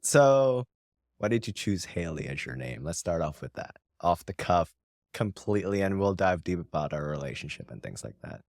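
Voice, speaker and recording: A man hosting a casual podcast, talking in a relaxed, teasing way. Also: low voice, moderate pace, dry recording, studio quality. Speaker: podcast host. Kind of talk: casual podcast chat with scripted intro.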